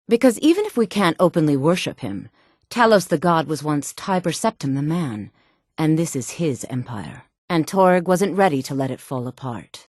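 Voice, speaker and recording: An actress playing a sultry, self-assured woman in a fantasy video game, speaking in a poised, measured and haughty manner. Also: low voice, a little mellow, no echo, clean studio sound. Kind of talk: video game character dialogue.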